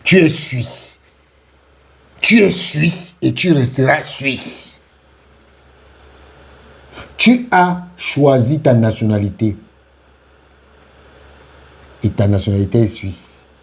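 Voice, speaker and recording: A man's voice: mid-range voice, takes it slowly, creaky, pauses often. A man giving sarcastic, taunting commentary on an interview, repeating phrases and laughing with scorn. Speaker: commentator reacting to an interview clip. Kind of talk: mocking reaction commentary.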